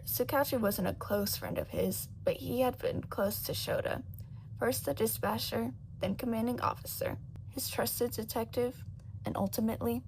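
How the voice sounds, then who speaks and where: A woman reading a story as a narrator, in an expressive, dramatic scripted style. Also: a little high, noisy background. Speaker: audiobook narrator of fan fiction.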